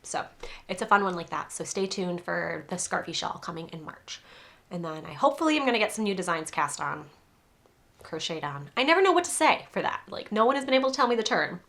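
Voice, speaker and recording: Woman crochet vlogger with a slightly congested voice from a cold, bright and chatty, rambling and laughing as she goes. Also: on the low side, big pitch swings, brisk. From New England. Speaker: crochet designer and podcast host. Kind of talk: casual chat to camera.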